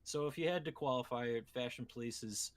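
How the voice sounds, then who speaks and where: A man's voice: medium pitch, a little fast. Man chatting casually about games. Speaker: podcast co-host. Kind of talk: casual video game chat.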